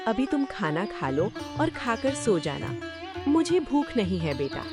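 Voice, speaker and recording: A woman voicing a devoted village wife and mother who talks gently and caringly in a cartoon story. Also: medium pitch, very animated, a little slow, over music. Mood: gentle. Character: worried devoted young wife and mother, cook.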